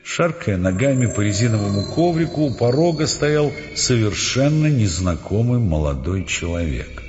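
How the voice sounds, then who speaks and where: A male narrator with a fairly low, widely moving voice reads dramatized prose. Long reverb surrounds him, and music plays behind. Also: sing-song, normal speed, darkish tone. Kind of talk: dramatized literary narration for an audio play. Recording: reverberant, dull sound, music underneath.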